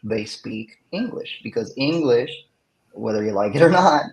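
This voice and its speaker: Man, mid-pitched voice, english teacher being interviewed, explanatory, rhetorical questions. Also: expressive, a little slow, poor audio. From Puerto Rico. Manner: explains with rhetorical questions and frequent tag "right?". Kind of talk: academic podcast interview.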